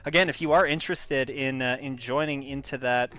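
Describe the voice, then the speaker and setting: A man's voice: mid-range voice, steady tone, brisk, fairly bright tone. A man commentating an online racing broadcast, wrapping up the show with lots of ums and uhs. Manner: hesitant, filler-heavy, friendly sign-off delivery. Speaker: esports race commentator. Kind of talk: broadcast race commentary wrap-up.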